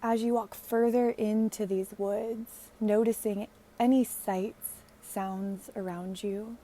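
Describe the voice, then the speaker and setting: A woman leading a guided meditation in a gentle, hushed, soothing way, leaving long pauses. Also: mid-range voice, level tone.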